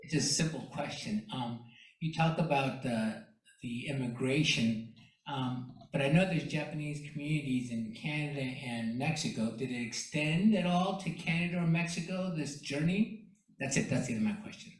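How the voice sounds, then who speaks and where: A man's voice: mid-range voice, normal speed. A man in the audience asking a short, simple question. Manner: plain, brief. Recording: distant voice in an echoey hall.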